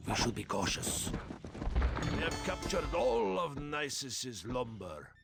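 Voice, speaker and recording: Gravelly low-ish barbarian scout, careful battle briefing in a game, music loud behind him. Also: male voice. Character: cautious male barbarian war scout advising the raiders. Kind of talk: scripted game mission briefing.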